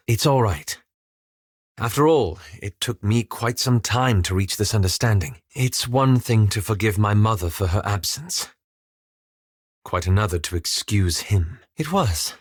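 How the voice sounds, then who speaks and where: A man playing a courteous, reserved elven wizard in a fantasy game, with formal, articulate and measured delivery. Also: lowish voice, no room sound, clean studio sound. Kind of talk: scripted fantasy game dialogue.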